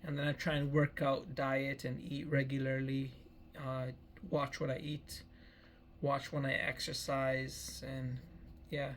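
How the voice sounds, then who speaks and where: A man's voice: medium pitch, monotone, takes it slowly, a little hiss or hum. A man in his early thirties giving a meandering personal life update, often repeating himself and restarting phrases. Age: 30s. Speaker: vlogger.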